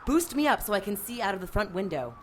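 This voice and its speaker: A woman's voice: medium pitch, sing-song, fast, slight background noise, close to an everyday voice. A woman playing a feisty, skeptical sidekick in an audio drama, blunt and full of questions. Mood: urgent, sassy.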